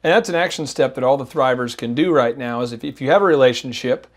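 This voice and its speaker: A man's voice: mid-range voice, quick pace. Enthusiastic male podcast host. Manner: appreciative, enthusiastic. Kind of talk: interview chat.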